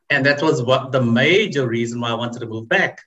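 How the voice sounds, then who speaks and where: Man, 40s, podcast guest, mid-pitched, steady and reflective. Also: highs cut off. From South Africa. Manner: measured, reflective storytelling. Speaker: interview guest. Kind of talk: casual interview chat.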